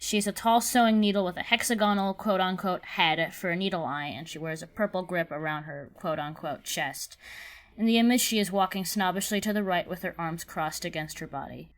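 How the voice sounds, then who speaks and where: A woman's voice: lowish voice, fairly quick. Woman reading a scripted casting call. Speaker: creator of an animated series giving a casting call. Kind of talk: scripted narration of audition instructions and character descriptions.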